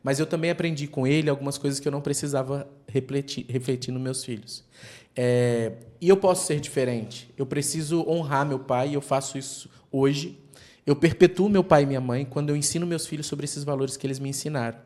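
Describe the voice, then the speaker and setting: A man's voice: medium pitch, steady tone, a little fast, darkish tone. A man as a podcast guest, speaking earnestly and reflectively in long stretches built around images like nests and wildfires. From Brazilian Northeast. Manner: earnest, speaks in extended metaphors. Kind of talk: reflective interview talk.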